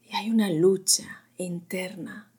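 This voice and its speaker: A woman with a very breathy, lowish voice guides a sleep meditation. She speaks softly and soothingly, often slipping into a whisper. Her pace is extremely slow, with long pauses between short phrases. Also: steady tone.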